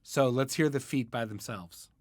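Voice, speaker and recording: A man's voice: mid-range voice, moderate pace, background noise. A man hosting a podcast interview in a conversational style, setting things up and asking questions.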